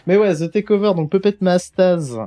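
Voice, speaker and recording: A man's voice: high voice, normal speed, mellow. A man co-hosting a casual chat show, teasing and joking with his friend. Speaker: podcast co-host.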